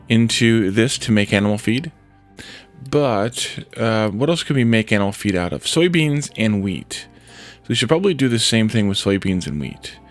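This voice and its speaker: A man with a congested head cold, casually thinking aloud as he streams a building game. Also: mid-range voice. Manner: thinking aloud, muttering to himself while building. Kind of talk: casual let's-play commentary.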